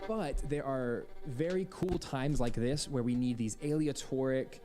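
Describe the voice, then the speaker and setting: A man's voice: medium pitch, unhurried, mellow, faint music behind. A man telling stories casually and enthusiastically, as a musician in an interview. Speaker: composer and violinist being interviewed. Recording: lightly noisy playback with clicks.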